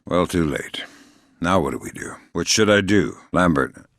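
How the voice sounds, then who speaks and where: A man playing a middle-aged, dry-witted, gruff covert operative in a video game, deadpan, sardonic and quietly menacing. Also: sits low, unhurried, dry recording, full character voice. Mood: focused, terse. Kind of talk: video game voice acting, isolated dialogue lines.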